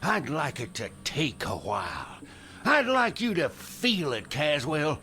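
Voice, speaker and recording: A man's voice: a little high, wildly swooping pitch, slow, bright, forceful tone, full character voice. An actor playing a bitter, vengeful older frontier father, accusing and emphatic, in a radio drama. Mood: vengeful anger.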